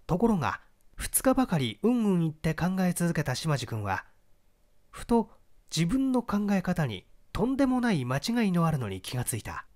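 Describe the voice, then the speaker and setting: A man reads literary fiction as a scripted narration. His voice is a bit high and moves widely in pitch, with a deliberate, theatrical storyteller's delivery. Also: wildly swooping pitch, a little mellow. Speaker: audiobook narrator.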